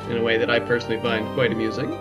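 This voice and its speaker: Guy doing casual lets play commentary, mid voice, kinda lo-fi mic, thinks out loud. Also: medium pitch, completely flat delivery, very fast, over loud music. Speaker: gameplay video host. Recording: slightly dull lo-fi mic, clicking.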